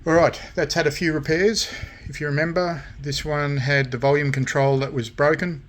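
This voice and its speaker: A man presenting his hobby restorations in a casual show-and-tell, speaking haltingly with frequent pauses between short phrases. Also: fairly high pitch, steady tone. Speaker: radio restoration hobbyist and vlogger.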